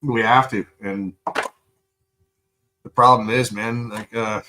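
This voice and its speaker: This is a lower-pitched man from Canada who chats casually on a live stream. He swears freely and rambles through fillers and restarts. He sounds high and unhurried about where his thoughts go. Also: steady tone, bright, forceful tone, low-quality recording. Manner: loose, profane, rambling with fillers. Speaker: live streamer reacting to videos.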